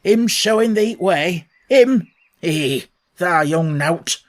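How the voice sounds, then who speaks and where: A man voicing a grumpy old male gardener with a broad Yorkshire accent and a gruff, scolding manner, in a storybook reading. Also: high voice, expressive, slow. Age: older.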